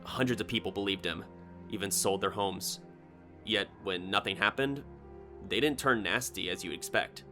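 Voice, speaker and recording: A man voicing a gruff, weary, sceptical American engineer in a story, with a dry, grumbling manner. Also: on the low side, normal speed, pushed and bright, soft background music. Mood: resigned. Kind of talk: short story character dialogue.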